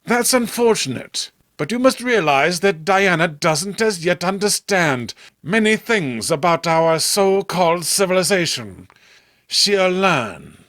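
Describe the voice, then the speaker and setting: Obsessed horror-comic lover, acted by a male reader: stubborn, theatrical, formal, higher-pitched male voice, animated delivery. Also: unhurried, heavily acted. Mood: calm, dismissive. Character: obsessed man stubbornly defending his monstrous beloved. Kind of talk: horror comic read-along acting.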